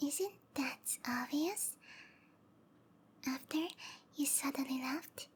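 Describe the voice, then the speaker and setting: A woman voicing a sweet, obsessive snake-girl lover in a breathy, cooing whisper for an intimate ASMR roleplay. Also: high-pitched, a little hiss or hum. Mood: clingy, pleading. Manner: cooing, tender, possessive.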